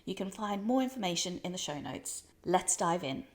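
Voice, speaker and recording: A woman's voice: mid-range voice, expressive, moderate pace. A woman hosting a podcast, reading an intro and then asking questions with frequent ums and kind ofs. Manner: warm welcomes, hedging with kind of and um. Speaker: podcast host. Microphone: podcast studio mic.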